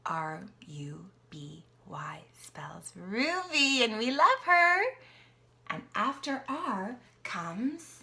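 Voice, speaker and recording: A young woman reads an alphabet picture book aloud to her niece, playful and animated, often dropping to a whisper. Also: fairly high pitch, drawn-out words, creaky. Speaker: aunt reading to her niece.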